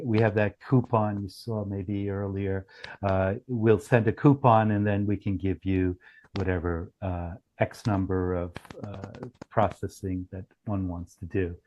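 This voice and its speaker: A man presenting as a company founder in a business pitch on a group call, speaking haltingly with frequent restarts. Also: highs cut off. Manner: halting, often restarts phrases. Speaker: startup founder presenting his product.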